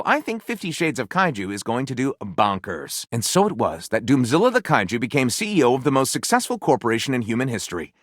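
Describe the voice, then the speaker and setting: An actor playing a theatrical, book-loving storyteller in a video game, gushing and snarky, with dramatic, mock-grand delivery. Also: male voice, mid-range voice, no echo, clean studio sound. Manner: dramatic narration with mock-grand flourishes and excited exclamations. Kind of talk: video game character voice acting.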